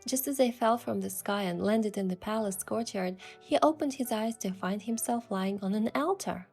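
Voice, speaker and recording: A woman's voice: mid-range voice, normal speed, vocal fry, a little mellow. A woman reading scripted first-person romance narration and voicing the heroine. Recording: music behind the voice.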